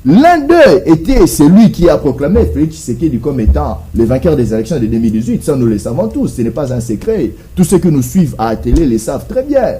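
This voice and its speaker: A Congolese man argues as a guest in a political debate. His voice sits high and swings widely in pitch, with a mellow tone. He is rhetorical and emphatic, hammering repeated phrases and posing questions that he answers himself. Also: very animated, a little fast, few pauses, a little hiss or hum. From Democratic Republic of the Congo. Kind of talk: impassioned televised political debate.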